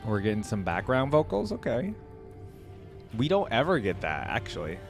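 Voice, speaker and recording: A man with a fairly deep voice talks as the host of a reaction video. His commentary is casual and informal, musing aloud with enthusiasm. Game music plays prominently behind his voice. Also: wildly swooping pitch, normal speed, creaky. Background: game login music.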